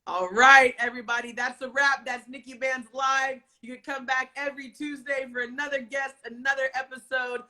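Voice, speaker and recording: Woman interview host, bright ringing voice, upbeat, gushing, lots of "like". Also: high voice, steady tone, normal speed, hardly any pauses. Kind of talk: enthusiastic casual interview hosting.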